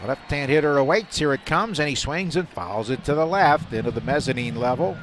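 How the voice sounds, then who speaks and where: A man calling baseball live on radio, describing each play in detail and getting excited on the big ones. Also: on the high side, fairly quick. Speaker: play-by-play announcer. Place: stadium broadcast booth. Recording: faint stadium noise behind a broadcast.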